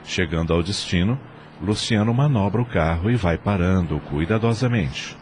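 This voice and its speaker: A Brazilian man narrating a scripted audio drama in a measured, formal manner. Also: sits low, lively intonation, a little mellow, a little hiss or hum.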